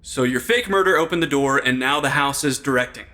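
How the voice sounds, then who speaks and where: An actor playing a wry, loyal investigator in an audio drama, casual in delivery with a dry way of talking. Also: male voice, mid-range voice, brisk, bright, forceful tone, lightly acted. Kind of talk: supernatural comedy audio drama acting.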